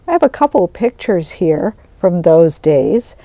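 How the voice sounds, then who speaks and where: Woman host with a mellow voice. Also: on the low side, big pitch swings, unhurried, dry recording. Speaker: interview host; college business instructor. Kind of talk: scripted introduction and conversational interview questions.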